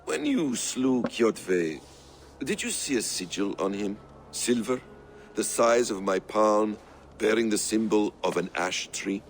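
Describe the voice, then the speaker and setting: An actor playing a calm, secretive male assassin who speaks in a measured, persuasive way. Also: fairly low pitch, a little hiss or hum, heavily acted. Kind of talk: video game cutscene acting.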